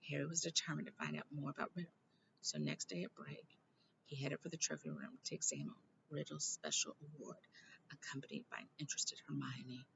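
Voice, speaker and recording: A woman reading a children's novel aloud informally, with animated delivery and the odd stumble and aside. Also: low voice, gravelly, dull audio, a little hiss or hum. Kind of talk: expressive amateur read-aloud with asides.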